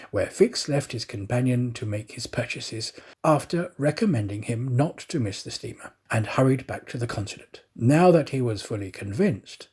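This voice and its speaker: A man's voice: medium pitch, slightly rough. A man reading a classic adventure story aloud in a theatrical, dramatic storytelling manner. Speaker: audiobook reader, professional actor. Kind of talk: expressive storybook narration.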